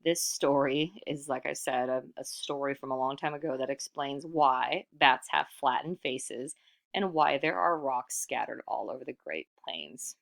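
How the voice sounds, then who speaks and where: A woman reading a storybook aloud in expressive narration, animated and putting questions to the listener. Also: rumbling low, a little slow, creaky. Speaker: storybook reader.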